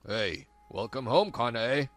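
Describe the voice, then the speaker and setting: A woman's voice: very deep voice, expressive, a little slow, soft background music. A woman playing a kindly, helpful older father in a manga dub.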